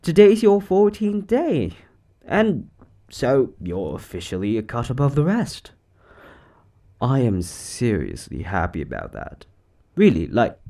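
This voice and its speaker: A man playing an upbeat, quirky boss of a mysterious shop, chatting casually in an animated, hesitant way in a roleplay audio. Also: mid-range voice, gravelly, exaggerated voice.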